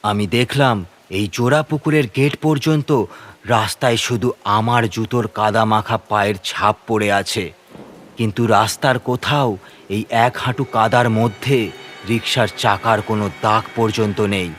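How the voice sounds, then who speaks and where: A man's voice: lowish voice, fairly even intonation, a little slow, fairly bright tone, a little hiss or hum. A man narrating a scripted horror story in the first person, with a suspenseful, theatrical delivery.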